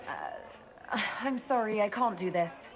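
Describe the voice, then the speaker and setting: A woman's voice: mid-range voice, measured pace, a loud crowd, very noisy, lightly acted. An actress playing a wry, composed woman who leads a fantasy order in a video game, with a dry, teasing way of talking. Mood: explaining, weary.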